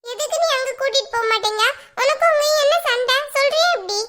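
A woman's voice: extremely high pitch, quick pace, pushed and bright. A woman voicing a stubborn little girl in a family drama, whining and insistent with her mother. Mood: questioning, indignant.

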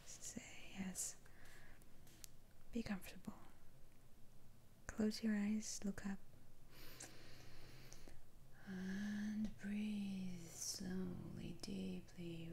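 A woman playing a soothing, eccentric witch doctor in a whispered ASMR roleplay, speaking in a hushed, halting way. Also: medium pitch, creaky, a little hiss or hum. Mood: soothing, intent. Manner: slow, hushed, halting delivery with sighs.

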